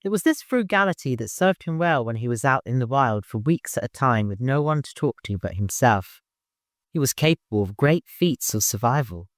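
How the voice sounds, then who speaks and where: A man's voice: medium pitch, expressive, normal speed, no echo, studio quality. A man reading a story aloud as scripted audiobook narration.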